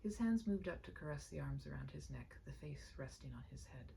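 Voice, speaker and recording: A woman narrator reads a scripted story with dramatic delivery. Her voice is deep for a woman and gravelly at the edges, often hushed. There is noticeable background noise behind her. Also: animated, brisk.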